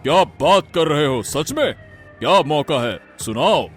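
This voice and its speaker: A man playing a rough, impatient bandit chief in an animated folk tale, gruff, rustic and blustering. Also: a little high, a little slow, soft background music. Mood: impatient, demanding. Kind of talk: animated Hindi moral-story voice acting.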